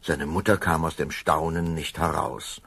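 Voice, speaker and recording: A man narrating a children's fairy tale as an expressive storyteller, savoring the words with wry relish. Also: very deep voice, unhurried, vocal fry, husky, no echo, full character voice. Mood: storytelling.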